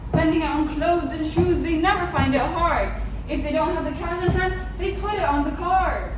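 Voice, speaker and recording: A woman's voice: very high-pitched, moderate pace, background noise. A woman playing a grumpy, miserly old man in a rhyming stage pantomime, declaiming scornfully. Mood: grumbling. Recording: echoey stage, distant mic, loud music.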